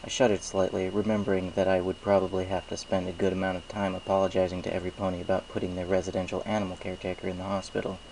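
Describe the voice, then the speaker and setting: A man's voice: deep voice, completely flat delivery, rapid-fire, hardly any pauses, a little mellow, a little hiss or hum, rough recording. A man reading scripted first-person fan fiction as its narrator.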